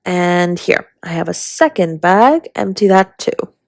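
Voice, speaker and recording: Casting: woman as affectionate dragon baker spouse for romance audio roleplay; low, gravelly, breathy, teasing. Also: lively intonation, dry recording, studio quality. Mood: playful, instructive. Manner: breathy, teasing, drawn-out, often dropping to a whisper. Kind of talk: intimate romantic audio roleplay addressed to listener.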